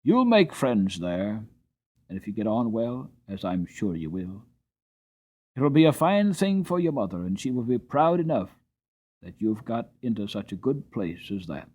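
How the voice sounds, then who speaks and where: A man voicing a kindly older male coachman who speaks in a steady, encouraging way. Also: lowish voice, unhurried.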